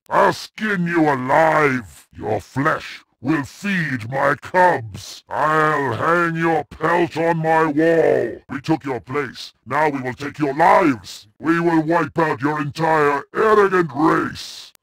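A man's voice: on the high side, no echo, exaggerated voice. An actor voicing a savage, boastful alien brute warrior who taunts and threatens his prey in game combat lines. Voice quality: gravelly, growling menace. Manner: taunting barks and shouted threats.

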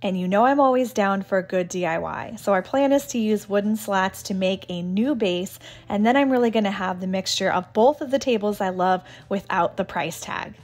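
A woman's voice: mid-range voice, normal speed, hardly any pauses, a little mellow. A woman in her thirties narrating a home renovation vlog in a chatty, enthusiastic way.

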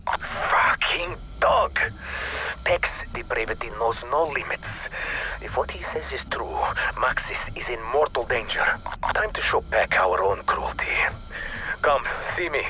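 An actor playing a defiant Soviet resistance fighter in a video game, with a Russian accent and an emphatic, dramatic delivery over the radio. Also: male voice, mid-range voice, animated, unhurried, dull audio. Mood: bitter, vengeful.

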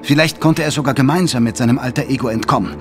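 A male narrator tells a dramatic story in scripted prose. His voice sits at a middle pitch, with music clearly playing behind it. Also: fast talker.

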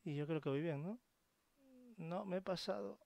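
A man's voice: on the high side, normal speed, gravelly, dry recording. A man from commentating a horror game, swearing and muttering to himself. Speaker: gameplay commentator. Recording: loud game noise underneath.

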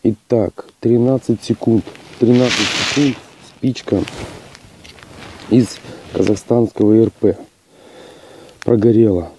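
A man with a hoarse voice from a cold, testing gear outdoors in a plain, matter-of-fact way with hesitant fillers. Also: lowish voice, fairly even intonation, slow pace, pauses often, warm tone, a little hiss or hum, poor audio. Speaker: outdoor channel host. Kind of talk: casual outdoor gear test. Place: outdoors on a rocky hillside.

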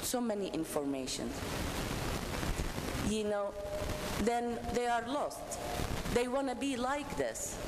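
A middle-aged woman with an Iraqi accent giving an animated, emphatic keynote speech from a podium microphone in a large conference hall. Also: mid-range voice. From Iraq. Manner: animated, gesturing, emphatic with rhetorical questions. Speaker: keynote speaker. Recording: echoey hall with ambient noise.

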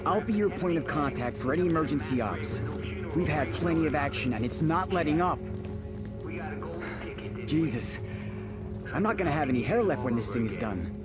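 A man's voice: fairly high pitch, animated, normal speed, long pauses, lightly acted. A man playing a weary, beleaguered police officer in a video game cutscene, sounding exasperated. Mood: weary relief. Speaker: officer in a military action game. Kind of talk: game cutscene dramatic acting. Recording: loud music behind.

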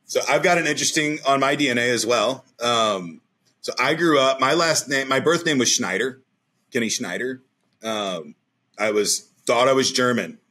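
A man's voice: mid-range voice, moderate pace, pushed and bright. A man in his forties, a podcast guest, talking in a rambling, emphatic way over a video call from a room at home. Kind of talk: casual interview talk.

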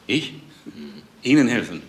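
An actor playing a hospital doctor in a crime radio play, with a wry, dryly humorous manner. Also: male voice, medium pitch, sing-song, unhurried. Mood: sardonic, shaken. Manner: wry, dry humour. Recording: echoey room with faint noise.

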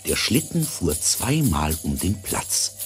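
A man narrating a scripted fairy tale in a dramatic, deliberate storytelling manner. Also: sits low, sing-song, soft background music.

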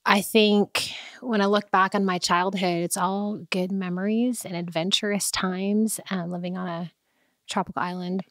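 Soft-spoken woman telling her life story. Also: medium pitch, fairly even intonation, normal speed, no echo, clean studio sound. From Philippines. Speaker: interview guest. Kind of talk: personal testimony in conversation.

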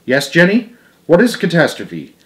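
A young man voicing a stern, brisk female first-grade teacher in a storybook, with emphatic classroom commands. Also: on the high side, huge pitch swings, voice pushed up. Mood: quizzing.

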